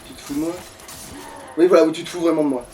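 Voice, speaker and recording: A man's voice: a little high, fairly even intonation, fast talker, darkish tone, faint music behind. A man giving casual let's play commentary, thinking aloud in a grumbling, foul-mouthed way. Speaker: gaming streamer. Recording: distant mic with background noise.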